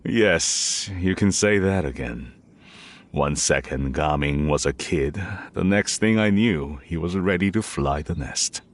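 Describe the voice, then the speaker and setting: Middle-aged game dad: deep voice, slow, humble and fretful. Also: male voice, a little hiss or hum. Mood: wistful. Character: worried middle-aged father, humble and earnest. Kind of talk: video game voice acting.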